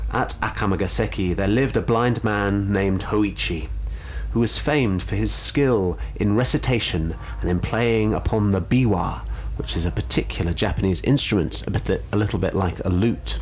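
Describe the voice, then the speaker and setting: A man reading a ghost story aloud in a measured, suspenseful storytelling style. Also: on the low side, a little hiss or hum, poor audio. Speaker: radio show storyteller.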